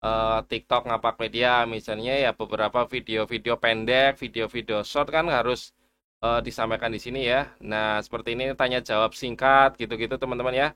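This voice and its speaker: A man's voice: mid-range voice, level tone, normal speed, pushed and bright, clear, resonant tone. A man giving a casual, reassuring screen-share tutorial and addressing viewers as friends. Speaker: education YouTuber explaining teacher data portal.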